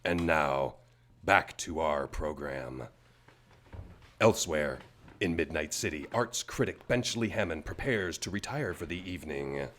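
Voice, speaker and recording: A man's voice: rumbling low, a little slow, fairly bright tone. A man playing an old-time radio announcer and narrator, reading the script in a dramatic, emphatic way. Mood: grave. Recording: faint noise in live studio.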